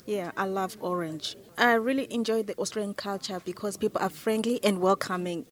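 A woman from Zimbabwe, a new citizen, talking in an excited, cheerful way. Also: medium pitch, a little fast. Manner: excited, cheerful, laughing easily.

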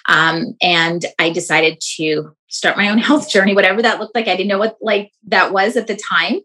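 A woman's voice: mid-range voice, steady tone, pushed and bright, rough recording. A woman in her thirties, a wellness coach guest, talking casually and chattily, with lots of fillers. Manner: chatty, full of fillers, quick bursts. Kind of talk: casual interview chat.

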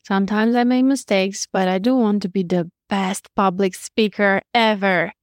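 A woman's voice: mid-range voice, fairly even intonation, moderate pace, no echo, studio quality. Romanian-accented woman podcast co-host. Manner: sometimes searches for words, friendly. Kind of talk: casual podcast conversation.

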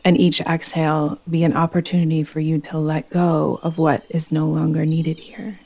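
A woman leading a guided meditation as a yoga nidra teacher, speaking in a soothing, gentle, unhurried manner. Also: low-pitched, level tone, gravelly.

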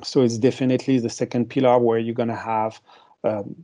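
A man's voice: mid-range voice, flat delivery, brisk, dark tone. A man with a French accent, a marketing executive answering interview questions with many hesitations and restarts.

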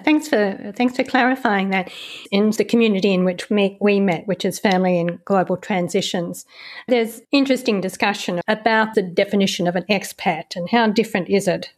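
Measured woman interviewer, mid-pitched voice. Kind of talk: interview questions.

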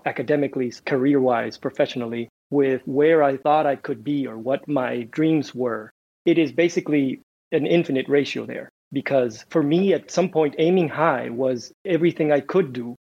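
A man's voice: mid-range voice, vocal fry, darkish tone, slightly rough, dull audio. A man from Honduras, a physics professor, answering interview questions thoughtfully, often restarting his words.

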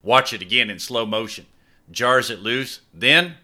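A man's voice: medium pitch, moderate pace, sharp tone, voice pushed up. A man voicing a big, downcast young ex-player who talks offhandedly in an audiobook. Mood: distracted. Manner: casual, offhand, slangy.

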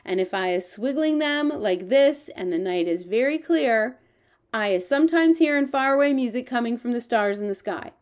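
A woman's voice: on the high side, animated, moderate pace, darkish tone, pitched above the performer's own voice, lightly acted. A woman voicing a big-hearted male giant in a children's story, earnest and proud, full of wonder, with ungrammatical talk and quaint invented words.

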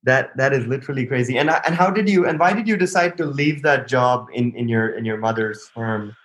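Man, podcast host, enthusiastic, chimes in often, mid-pitched voice. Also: fairly quick, fairly bright tone. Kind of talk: interview hosting.

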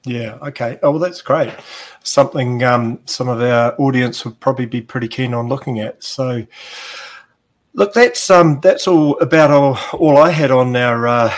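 A man's voice: mid-range voice, moderate pace. A man with a New Zealand accent hosting a business podcast, talking casually with frequent ums and restarts. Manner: relaxed, many ums and restarts. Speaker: podcast host. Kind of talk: casual interview.

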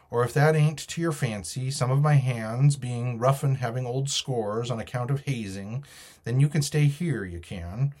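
A man's voice: mid-range voice, darkish tone, close to an everyday voice. Storybook pirate ringleader, played by a male reader: old, wily, menacing, full of salty dialect and oaths. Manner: folksy sailor's dialect with oaths.

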